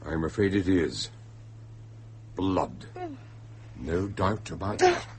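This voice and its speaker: An actor playing an anxious, cautious gentlemanly doctor who narrates and takes part in a radio mystery, grave and measured. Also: male voice, low-pitched, lively intonation. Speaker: narrator and character. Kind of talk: radio drama narration and dialogue.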